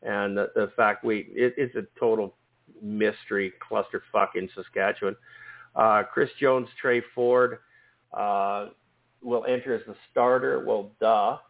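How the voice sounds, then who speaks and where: A man hosting a casual sports podcast, hoarse and losing his voice, often repeating words as he starts a sentence. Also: on the low side, steady tone, measured pace, no echo. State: losing his voice, sore throat. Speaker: podcast host. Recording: dry tinny phone-like line.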